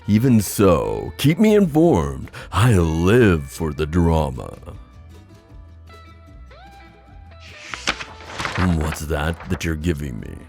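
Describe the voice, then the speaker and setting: A man acts out a mischievous god from an audio drama, in a very low voice that swoops dramatically through its range. He is a teasing schemer who lingers over his phrases at an unhurried pace, with some gravel underneath. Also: dark tone, full character voice. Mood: amused, teasing. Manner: teasing, theatrical, drawn-out phrasing with chuckles and sighs. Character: playful, theatrical god and chariot-riding younger brother, teasing schemer. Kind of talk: scripted audio roleplay addressed to the listener.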